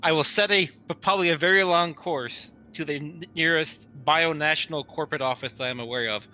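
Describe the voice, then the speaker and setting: A man's voice: a little high, a little fast, sharp tone, slight background noise. A man playing in a tabletop roleplaying game, chatting casually as he takes his turns.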